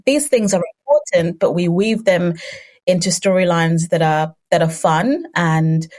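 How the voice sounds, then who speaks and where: A woman's voice: fairly low pitch, a little slow, darkish tone. A woman in her thirties, Ugandan-born and raised in the UK, answering interview questions at length in a fluent, thoughtful way. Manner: fluent, thoughtful, with some fillers. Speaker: guest, children's book publisher.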